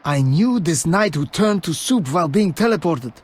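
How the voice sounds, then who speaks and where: A high, raspy adventuring companion. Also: male voice, expressive, normal speed. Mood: brisk, chatty. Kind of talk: video game voice acting.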